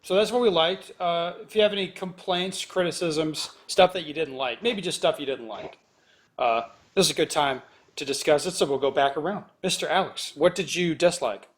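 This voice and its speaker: A man's voice: fairly high pitch, moderate pace. Rambling man hosting a podcast. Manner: rambling, self-correcting. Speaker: podcast host. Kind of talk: casual chat.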